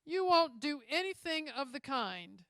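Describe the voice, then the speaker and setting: A woman storyteller plays a commanding old gentleman, a judge from a storybook. He speaks with dignity and emphatic, excitable feeling, in a dry, close sound. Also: sits high, a little slow, no room sound, pitched above the performer's own voice, exaggerated voice.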